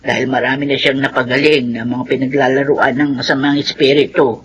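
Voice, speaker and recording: A woman's voice: a bass voice, flat delivery, fairly quick, a little hiss or hum. An actress playing a devout, trusting elderly grandmother who speaks in an earnest, reassuring way in a radio drama.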